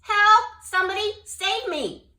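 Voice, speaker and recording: A woman voicing an earnest, fretful young male bullfrog in a children's story, with a high, excitable voice. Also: moderate pace, natural voice. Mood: panicked. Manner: high, excitable, tearful at times. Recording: echoey room.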